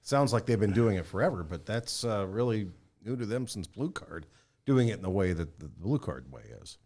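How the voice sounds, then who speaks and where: A man's voice: fairly low pitch, animated, normal speed, a little mellow. A man hosting a podcast in a conversational way, sounding middle-aged or older. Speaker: podcast host and former firefighter.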